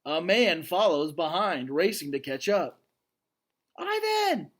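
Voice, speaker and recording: A man narrates a children's story in an expressive storytelling style. His voice is a little high for a male, and he takes his time, leaving dramatic pauses. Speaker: read-aloud narrator. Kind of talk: storytelling read aloud with casual asides to young listeners.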